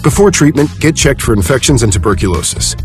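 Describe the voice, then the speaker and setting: A man reading a scripted commercial with calm, measured delivery. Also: medium pitch, soft background music. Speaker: ad voiceover.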